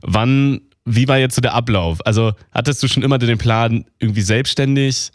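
A man's voice: mid-range voice, moderate pace, no room sound, studio quality. A man interviewing a musician, asking long, winding questions in a chatty, casual way.

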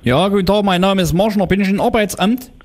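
Man as a nosy, stubborn neighbour, fairly high-pitched. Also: fast, heavy background noise, natural voice. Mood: curious, plain. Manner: pestering, folksy, colloquial grammar. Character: nosy, stubborn, simple-minded male neighbour snooping by phone. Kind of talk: prank phone call played straight.

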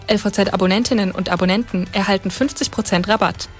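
A woman doing a scripted radio commercial voice-over in a clear promotional tone. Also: medium pitch, fairly even intonation, a little fast, soft background music.

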